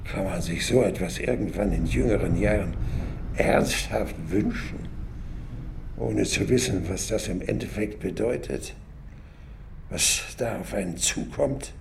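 A man's voice: deep voice, gravelly, slight background noise. An actor playing a weary, reflective man in his nineties, musing wryly in a radio drama monologue. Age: older. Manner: musing, deliberate, wry.

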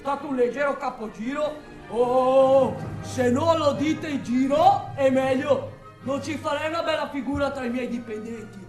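Woman acting high-pitched, blustering building foreman. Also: moderate pace, darkish tone, soft background music, natural voice. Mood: panicked, flustered. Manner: agitated, rambling, self-interrupting. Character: blustering, defensive, foul-mouthed male building-site foreman. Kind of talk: theatrical radio drama monologue. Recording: echoey room, distant mic.

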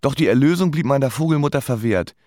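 Sardonic male band musician narrating a radio play, played by an actor; dry close sound, lively intonation. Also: mid-range voice, quick pace, dry recording, close to an everyday voice. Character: dance-band musician recounting his bleak life drily. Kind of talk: scripted first-person radio play narration.

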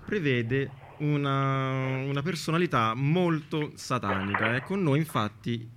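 A man's voice: mid-range voice, a little slow, background noise. A man presenting and interviewing live as a radio host.

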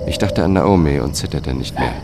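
A man's voice: rumbling low, animated, brisk. A man playing the sober first-person narrator of a crime thriller audio drama. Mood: sober, tense. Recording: music bed under the voice.

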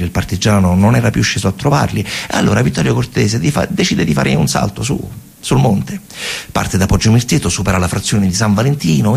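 A man narrating a radio theatre monologue dramatically, in colloquial, short phrases with repetitions and a husky voice. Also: on the low side. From Italy. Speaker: storyteller actor.

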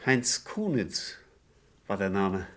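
A man's voice: fairly low pitch, very animated, slow pace, fairly bright tone, full character voice. An actor playing a courteous, eager householder pushing his own theory in a radio crime drama, polite yet hesitant and insistent. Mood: eager, speculating.

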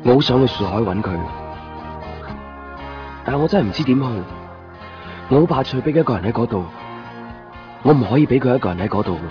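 A man's voice: medium pitch, animated, fast talker, long pauses, lightly acted. A man with a Hong Kong accent playing a stubborn, devoted, desperate young man in a radio drama. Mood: worried, longing. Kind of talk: Cantonese radio drama acting with inner monologue. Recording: muffled 1990s radio recording, music behind. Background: music.

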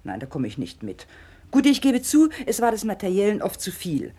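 A woman's voice: medium pitch, wildly swooping pitch, normal speed, dark tone, husky. An actress playing a firm, reproachful middle-aged mother who holds to tradition, in a radio play. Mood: doubtful, firm. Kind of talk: late 1960s radio play acting.

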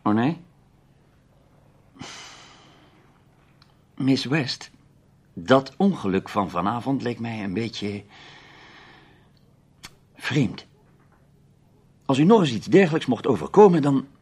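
A man's voice: medium pitch, sing-song, slow pace, pauses often, a little mellow, slightly rough, full character voice. A man playing a gallant, self-assured foreign correspondent in a Dutch radio play, with a jovial, theatrical way of talking. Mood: curious, probing.

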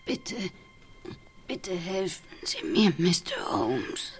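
An actress playing a frail, dying woman who can barely speak, pleading in a breathy, halting whisper in a radio play. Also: on the low side, very animated. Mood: desperate, pleading. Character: dying, emaciated, blind sister, frail and pleading. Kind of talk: dramatic radio play acting.

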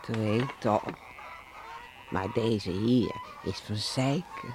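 An actor playing a lonely, resigned old shepherd in a radio play. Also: male voice, fairly low pitch, extremely slow. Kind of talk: Dutch radio play acting. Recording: noisy old radio recording, farm animal effects.

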